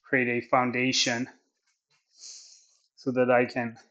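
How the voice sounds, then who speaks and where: A man whose first language is Swedish, talking in English through a training session and reflecting on it in a slow, halting way. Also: medium pitch, monotone, a bit bright, highs cut off. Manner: slow, thoughtful, halting phrasing. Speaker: athlete training on camera. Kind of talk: reflective training vlog narration.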